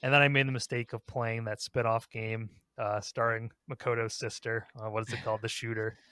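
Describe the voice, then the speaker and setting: A man's voice: mid-range voice, flat delivery, brisk, pushed and bright, no room sound. A man hosting a casual group discussion, talking in a rambling, self-correcting way. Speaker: podcast host.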